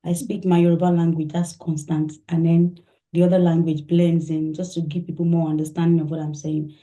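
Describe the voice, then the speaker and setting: A woman from Nigeria speaking as an interview guest, soft-spoken and thoughtful, laughing often. Her voice is low and mellow. It rings in an echoey room. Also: flat delivery, fairly quick. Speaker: musician guest. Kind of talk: interview answers.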